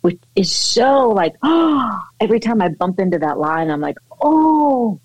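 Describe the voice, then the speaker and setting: A woman's voice: medium pitch, big pitch swings, unhurried, a little mellow, slightly rough, dry recording. A woman actress chatting in an interview, effusive and full of fillers and restarts. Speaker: actress guest. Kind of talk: casual interview chat.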